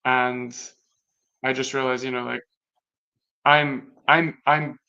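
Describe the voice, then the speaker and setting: Latvian man talking in halting reflection. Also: medium pitch, level tone, sharp tone, clean tone. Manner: reflective, halting, with many restarts. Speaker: guest. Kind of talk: casual conversation. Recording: dull lo-fi sound.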